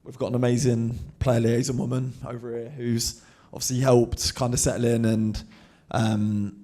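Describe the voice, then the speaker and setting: A man's voice: mid-range voice, level tone, normal speed, dark tone. A man chatting casually about settling into a new club. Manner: chatty, laughs easily. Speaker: footballer guest. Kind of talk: casual interview answers.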